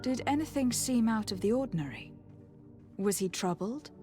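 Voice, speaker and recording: A woman's voice: a little high, big pitch swings, moderate pace, dark tone. An actress playing a polite, composed young noblewoman in a fantasy game, courteous and formal as she speaks. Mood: inquiring. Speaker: voice actor in a video game. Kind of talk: scripted fantasy game dialogue. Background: music.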